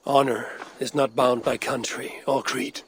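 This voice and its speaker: A man's voice: mid-range voice, little pitch movement, measured pace, crowd noise, lightly acted. A man playing a stern, steadfast Viking warrior in a dramatic video game, blunt and restrained. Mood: guarded.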